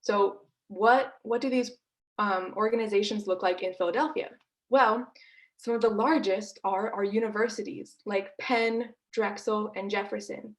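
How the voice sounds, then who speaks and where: A woman's voice: mid-range voice, fairly even intonation, moderate pace. A woman presenting as an activist, speaking emphatically and with indignation as she makes her case. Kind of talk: advocacy presentation.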